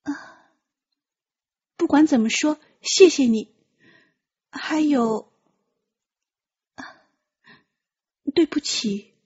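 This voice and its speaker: A woman voicing an anxious, kind-hearted young woman disguised as a eunuch, soft and apologetic in an audiobook drama. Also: sits high, very slow, pauses often, muffled recording, voice pushed up. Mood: timid, sorry. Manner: flustered, hesitant, pleading.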